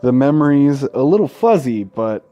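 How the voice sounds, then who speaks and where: A man's voice: mid-range voice, very animated, moderate pace, mellow, muffled recording. A man reading a visual novel aloud as a let's-play host, with casual, profane asides. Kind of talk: reading game text aloud with casual commentary.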